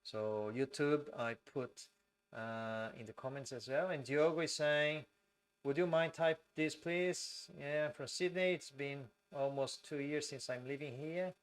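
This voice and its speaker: Slow-speaking Brazilian man. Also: fairly high pitch, fairly even intonation, clear, resonant tone. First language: Portuguese. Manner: speaks slowly and deliberately with many fillers. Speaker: host of a live stream. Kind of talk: live-stream talk reading viewer comments.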